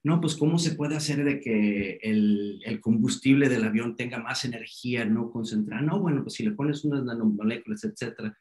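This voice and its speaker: A man's voice: mid-range voice, normal speed, hardly any pauses, a little mellow. Casual man giving a science talk. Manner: casual, filler-heavy, says "este" a lot. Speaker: guest lecturer. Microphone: video call microphone.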